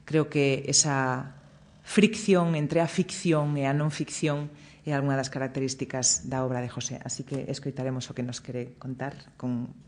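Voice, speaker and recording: A woman hosting a live science talk, introducing a guest in a halting way and searching for words. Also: extremely low pitch, little pitch movement, a little mellow.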